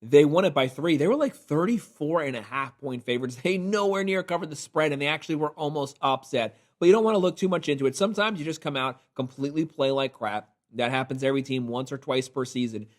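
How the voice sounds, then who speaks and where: Energetic man giving football poll reactions. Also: fairly high pitch. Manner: energetic, opinionated, rapid-fire sports talk. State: recovering from illness, voice a little off. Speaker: college football channel host. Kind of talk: solo poll reaction commentary.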